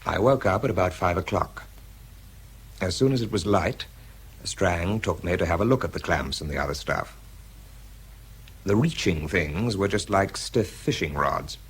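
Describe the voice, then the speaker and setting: A man's voice: sits low, moderate pace, breathy edge, full character voice. An actor playing a nervous, understated bomb disposal man, narrating and talking in a radio drama. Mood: reflective, tense. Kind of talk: radio drama, first-person narration and dialogue.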